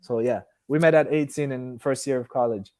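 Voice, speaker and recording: A man's voice: mid-range voice, fairly quick, a little mellow. A man in his early thirties from Haiti, raised partly in Miami, hosting a casual live stream in a rambling, conversational way as he answers viewers. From Haiti, moved to Miami at fourteen. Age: early 30s. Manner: rambling, loses and regains his thread.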